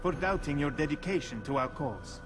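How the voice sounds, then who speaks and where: An actor playing a courteous, cautious informant of an assassins' order in a video game, measured and formal. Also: male voice, a little high, animated, natural voice, rough recording. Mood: earnest. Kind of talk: video game drama acting. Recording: dramatic music behind the voice. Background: music.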